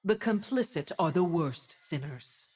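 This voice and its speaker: A woman's voice: fairly low pitch, lively intonation, a little slow, darkish tone, breathy edge. A woman playing a proud, righteous winged warrior and judge in a video game, with a solemn, commanding, haughty delivery. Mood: stern judgment. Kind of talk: dramatic video game voice acting.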